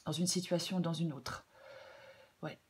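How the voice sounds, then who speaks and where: A woman with a French accent giving a tarot reading in a casual, hesitant way, slightly hoarse, with many fillers and repeated phrases. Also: low voice, steady tone, measured pace. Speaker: tarot reader. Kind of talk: casual spoken tarot guidance.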